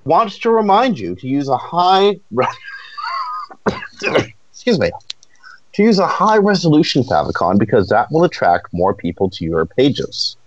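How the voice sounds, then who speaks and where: A man's voice: mid-range voice, sing-song, unhurried, dull audio, slight background noise. A man co-hosting a casual talk show, explaining things with playful, jokey asides. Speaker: podcast co-host. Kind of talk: casual chat.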